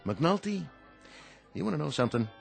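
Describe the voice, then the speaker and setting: An actor playing a wry, fed-up bartender with a dry, sardonic manner in a radio drama. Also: male voice, fairly high pitch, big pitch swings, moderate pace, gravelly, faint music behind. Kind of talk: old-time radio drama acting.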